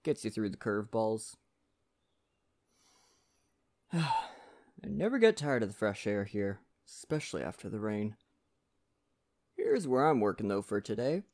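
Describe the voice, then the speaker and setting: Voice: medium pitch, very animated, normal speed. Friendly lumberjack with a lilting delivery performed roleplay. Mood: easygoing, chatty. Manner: chatty, folksy, gently courteous. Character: friendly, poetic male Canadian lumberjack, burly and easygoing. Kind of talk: ASMR roleplay addressed to the listener.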